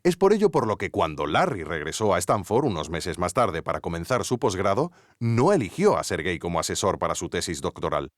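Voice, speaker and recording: An actor playing an earnest investigative podcast narrator with a Spanish accent from Spain, theatrical, suspenseful and tongue-in-cheek. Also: male voice, deep voice, big pitch swings, brisk, no echo, studio quality. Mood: intrigued. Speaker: host. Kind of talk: scripted documentary narration.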